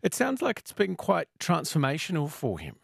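A man's voice: a little high, wildly swooping pitch, moderate pace, gravelly, fairly bright tone, husky, no room sound, studio quality. A man hosting a morning radio show, asking friendly, encouraging questions. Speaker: radio host.

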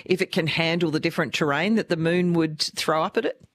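A radio host, introducing and interviewing a guest in a clear, upbeat presenter manner. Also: medium pitch, brisk, fairly bright tone.

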